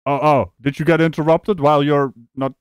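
Male host from the Netherlands, mocking and lively, on a dry close mic. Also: fairly high pitch, brisk, smooth, no room sound. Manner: animated, mocking, laughs often. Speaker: streamer host. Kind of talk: live reaction commentary.

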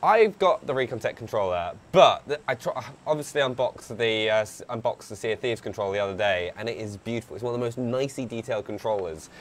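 A man's voice: lowish voice, fast talker, few pauses. Young guy streaming, chatty, reads the chat out, headset mic, slight background hiss. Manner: chatty, reads chat aloud, bursts of excitement. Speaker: game streamer. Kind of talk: live stream commentary.

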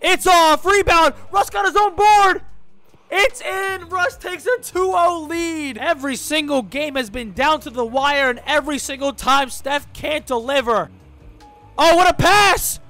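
A young man with a hoarse, raspy voice hosting a gaming channel, giving excitable play-by-play commentary at a studio mic and shouting at the big plays. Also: extremely high pitch, a little slow, soft background music. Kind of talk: energetic play-by-play game commentary. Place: home streaming room with green screen. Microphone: large studio mic on boom, headphones.

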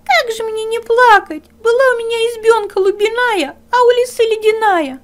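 A woman's voice: very high-pitched, normal speed, voice pushed up. A woman voicing a small, pitiful young male hare in a plaintive, whimpering storybook voice. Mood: plaintive, tearful.

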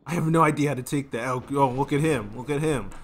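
A man's voice: mid-range voice, fast talker. A man in his twenties giving casual reaction commentary as a video host, rambling and often circling back to rephrase. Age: 20s. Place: small indoor room at home.

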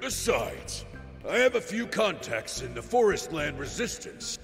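A man voicing a brawny, boastful bandit leader in a video game, gravelly and theatrical. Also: fairly high pitch, big pitch swings, measured pace, a bit bright, exaggerated voice. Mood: blustering, defensive. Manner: theatrical, blustering. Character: brawny boastful male bandit leader in swim gear, blustering. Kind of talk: animated video game cutscene acting. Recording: echoey hall reverb over music.

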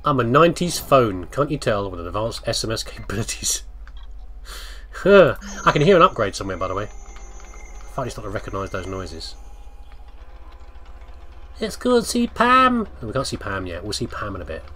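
Animated British man with a husky voice. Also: on the low side, moderate pace, pauses often. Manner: playful, chatty, asks himself questions, reads on-screen text aloud. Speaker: let's play host. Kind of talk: casual game commentary, talking to the game character.

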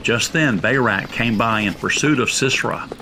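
A man narrating a scripted Bible story drama in a measured, solemn way. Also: medium pitch, sharp tone, slight background noise, rough recording.

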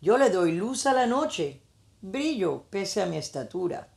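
A small, wise firefly from a storybook, read by a woman. It speaks slowly and reassuringly, like a gentle guide. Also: medium pitch, big pitch swings, gravelly, reverberant.

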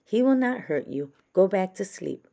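Woman reading as patient father badger, lifted pitch. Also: big pitch swings, normal speed, vocal fry, dark tone. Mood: reassuring. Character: calm, reassuring father badger. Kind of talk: children's storybook character voice.

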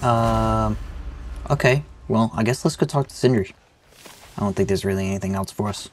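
A man's voice: on the low side, moderate pace, darkish tone, slight background noise. A young man with a sick-sounding voice giving rambling, joking let's-play commentary. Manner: rambling, joking, self-deprecating. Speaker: gaming channel host.

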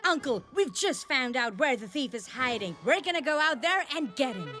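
Casting: an excitable, cocky cartoon lady cop, animated and commanding, with music underneath. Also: sits high, moderate pace. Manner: commanding, theatrical, exclamatory. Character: bossy, excitable policewoman, cocky and blustering. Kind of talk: children's cartoon dubbing.

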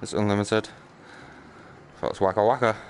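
Low-voiced British man grumbling over gameplay. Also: fairly even intonation, moderate pace, noisy background. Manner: grumbling, sarcastic, mild swearing. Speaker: gaming streamer. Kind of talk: casual live gameplay commentary.

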